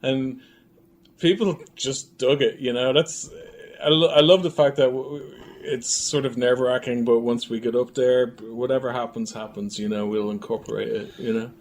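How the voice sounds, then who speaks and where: A man's voice: mid-range voice, fairly even intonation, normal speed, darkish tone. A man speaking as an interview guest, looking back on events in casual, reflective conversation. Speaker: filmmaker and writer, interview guest. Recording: clearly noisy room ambience.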